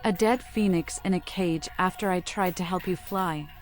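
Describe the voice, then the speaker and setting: A woman plays a mad vampire heroine from a video game, pitched low. She is solemn and eerie and declaims in riddles. Faint music sits behind her. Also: moderate pace, lightly acted. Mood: cryptic, commanding. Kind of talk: fan voice-over of a video game protagonist.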